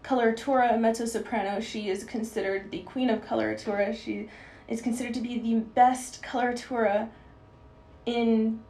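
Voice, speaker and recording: A woman of twenty-two, a guest singer on a radio show, explaining clearly and filling gaps with "you know". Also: a little high, steady tone, normal speed, a little mellow, echoey room. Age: young adult. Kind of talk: interview answers.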